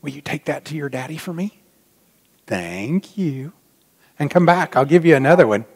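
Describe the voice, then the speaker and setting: A middle-aged man speaks as a pastor in a big, reverberant church. His fairly high voice swings up and down expressively. He addresses the congregation informally, with a folksy, dry manner and long pauses. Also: animated, a little mellow, breathy edge. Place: large church sanctuary, indoors.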